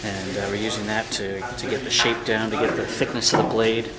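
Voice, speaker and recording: A middle-aged man with a slightly low voice explains casually as a student. Loud bustle of other people and sanding fills the workshop around him. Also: little pitch movement, brisk, over a noisy crowd, low-quality recording. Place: wooden workshop indoors. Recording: very busy workshop noise.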